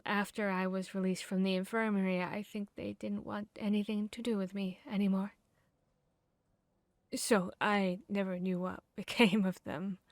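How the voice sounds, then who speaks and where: A woman acts as a young female vampire hunter in a fantasy ASMR roleplay, haunted yet self-deprecating. She speaks slowly and softly at a medium pitch, pausing as she confides. The sound is dry, with no room around the voice. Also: no room sound. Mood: wry, resigned.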